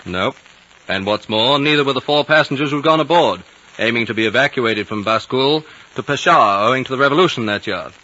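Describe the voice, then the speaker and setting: An actor playing a young English military pilot with breezy, slangy officer talk in a 1950s radio drama. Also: male voice, mid-range voice, expressive, a little fast, bright, forceful tone. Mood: matter-of-fact. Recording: dull vintage radio recording.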